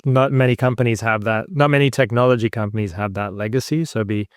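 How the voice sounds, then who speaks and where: A man hosting an interview podcast in a chatty, friendly way, slipping in jokes at his own expense. His voice sits at an ordinary middle pitch with no accent, though he grew up in Scotland. The sound is dry and close, with no room around it. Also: fairly even intonation, fast, clear, resonant tone, no room sound. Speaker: podcast host. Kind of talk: interview podcast, casual chat.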